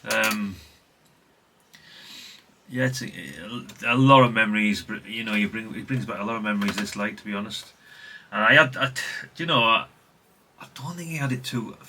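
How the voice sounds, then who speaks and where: A man's voice: on the low side, pushed and bright, slight background noise. A middle-aged man from chats unscripted as a hobbyist vlogger, rambling and conversational with repeated catchphrases. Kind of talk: unscripted casual chat, showing an item.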